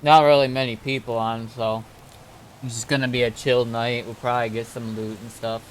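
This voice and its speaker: A man chatting casually on a game livestream, telling stories in a laid-back, halting way. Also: mid-range voice, level tone, a little hiss or hum, low-quality recording. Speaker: game streamer. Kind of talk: casual livestream chat, recapping roleplay events.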